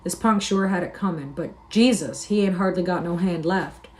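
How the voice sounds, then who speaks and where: Female reader as commanding storybook ranch hand, blunt, plain-spoken, rough rural dialect. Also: lowish voice, moderate pace, a little mellow, lightly acted. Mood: firm. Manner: blunt, coarse rustic dialect.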